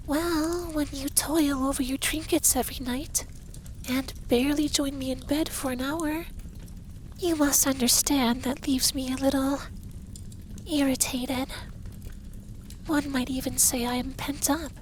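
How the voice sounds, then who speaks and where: Man voicing a soft, high-pitched femboy dragon boyfriend. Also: a little hiss or hum, rough recording. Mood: stern, caring. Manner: soft, breathy, slow, teasing and commanding. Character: effeminate young male dragon lover, stern, teasing, doting. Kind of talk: intimate ASMR roleplay.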